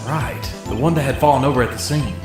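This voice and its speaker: A man's voice: medium pitch, quick pace, close to an everyday voice. A man playing a determined young defense lawyer in a video game dub, pressing and confrontational in manner. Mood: confident, pressing. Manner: assertive, pressing. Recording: music under the voice.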